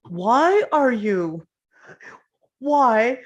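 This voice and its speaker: A woman playing an anguished, confrontational woman in a dramatic table read, pressing for answers in a halting, interrupting way. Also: fairly high pitch, sing-song, a little mellow, lo-fi sound, heavily acted. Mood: anguished, frustrated.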